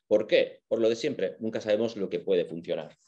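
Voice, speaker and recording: A man's voice: on the low side, normal speed, a little mellow, muffled recording. A man with an accent from Spain, giving an informal explanatory lecture in an enthusiastic, conversational manner.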